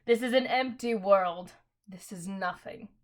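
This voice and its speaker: A woman reading aloud and voicing a ruthless, queenly female witch with a clear, ringing voice and a scornful, slow, grand way of speaking. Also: mid-range voice, close to an everyday voice. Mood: cold, solemn.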